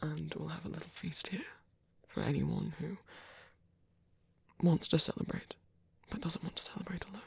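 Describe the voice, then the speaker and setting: A man voicing a gentle, caring vampire priest in a soft, hesitant ASMR roleplay, his breathy voice often dropping to a whisper. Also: on the high side, steady tone, normal speed, no echo, exaggerated voice. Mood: warm, reassuring. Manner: hesitant, reassuring, tender.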